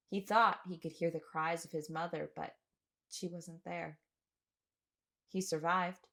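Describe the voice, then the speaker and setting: An actress playing a troubled young castaway woman, telling her story earnestly and with measured care in an audio drama. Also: lowish voice, a bit bright. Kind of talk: dramatic first-person audio drama narration.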